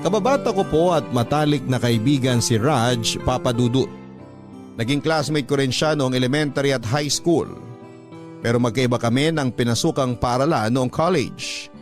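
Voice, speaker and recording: A man reading a letter sender's story aloud in a polite, earnest way, radio drama style, with soft instrumental music under his voice. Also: medium pitch, moderate pace, natural voice.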